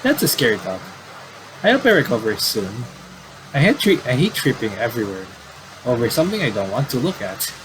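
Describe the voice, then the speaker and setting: A man doing casual game commentary and reading aloud, in a halting, rambling way with repeated words. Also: medium pitch, sing-song, noisy background.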